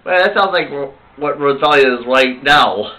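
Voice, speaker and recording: A man improvising amateur puppet show dialogue as the puppeteer, with a halting, rambling delivery. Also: medium pitch, pushed and bright, rough recording. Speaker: puppeteer voicing all the characters.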